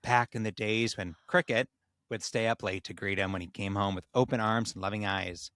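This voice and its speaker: A man's voice: lowish voice, steady tone, moderate pace, a bit bright, no room sound, studio quality. A man hosting a comedy podcast, reading a story aloud in a mock-solemn tone and breaking into casual banter.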